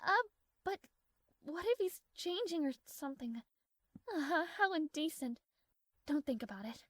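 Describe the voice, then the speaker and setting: A high, girlish voice for a tsundere princess played by a woman, recorded dry and close to the ear. She is haughty and formal, guarded but fond, and easily flustered as she addresses the listener in a romantic audio roleplay. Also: expressive, a little slow, fairly bright tone, no room sound. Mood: haughty, impatient.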